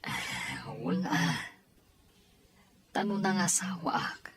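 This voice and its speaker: A woman playing a soft-hearted, self-sacrificing grown man, a devoted uncle, in an audio story drama. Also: on the low side, wildly swooping pitch, slow, whispered, heavily acted. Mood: hesitant, subdued.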